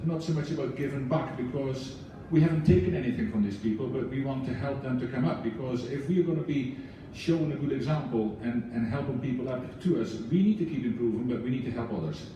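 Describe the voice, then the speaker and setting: A man's voice: mid-range voice, mellow, distant mic, a little hiss or hum. Earnest man speaking in an echoey room. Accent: foreign. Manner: earnest, passionate, with many restarts. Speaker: book club leadership team member. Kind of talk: speech to an audience.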